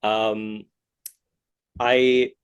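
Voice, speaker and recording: A man's voice: lowish voice, level tone, extremely slow, clean tone, dull audio. A man hosting a casual interview, tentative and encouraging in the way he talks. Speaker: podcast interviewer.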